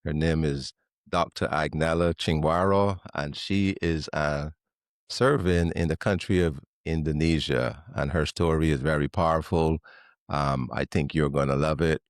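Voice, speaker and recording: Deep-voiced male podcast host. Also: fairly even intonation, a little slow, no room sound, studio quality. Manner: friendly, with fillers. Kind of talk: podcast interview.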